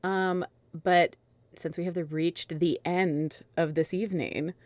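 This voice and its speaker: A woman's voice: lowish voice, fairly even intonation, measured pace, darkish tone, no echo. A woman hosting a casual livestream chat with friends, speaking in an effusive, gushing way. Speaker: host of a live group reading stream.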